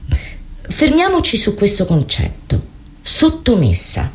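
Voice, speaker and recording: A woman playing a formal, probing female therapist in a radio play, swinging between hushed and loud clinical questioning. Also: mid-range voice, wildly swooping pitch, unhurried.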